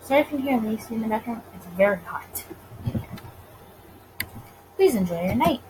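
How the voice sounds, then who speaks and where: Woman, fairly high voice, lively intonation, casual let's play narration, faint background noise. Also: moderate pace. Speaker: gaming video creator.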